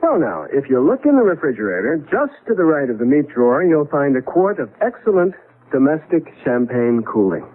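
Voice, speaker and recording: A good-humored husband from a 1970s radio mystery. His voice sits a little high and swings widely as he jokes and reasons. The sound is narrow and lo-fi, like an old radio broadcast. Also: very animated, fairly quick. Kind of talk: 1970s radio drama acting. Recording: band-limited vintage radio recording.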